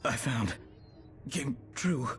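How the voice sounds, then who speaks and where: A man's voice: mid-range voice, animated, extremely slow. A man playing a wounded, terrified victim in a video game, weak and pained, speaking in broken phrases. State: coughing, weak, in pain. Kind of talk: dramatic game dialogue. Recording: music behind the voice.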